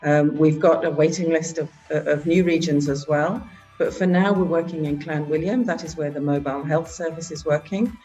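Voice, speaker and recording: Woman, halting presentation. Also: low voice, fairly even intonation, dark tone, highs cut off, soft background music. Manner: halting, with frequent filler sounds. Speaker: head of a charity organisation. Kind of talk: virtual meeting presentation.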